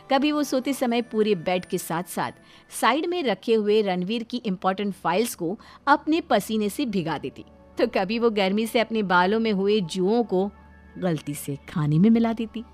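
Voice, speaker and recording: A woman's voice: a little high, lively intonation, measured pace, soft background music. A woman reading scripted narration for a cartoon story, as its storyteller.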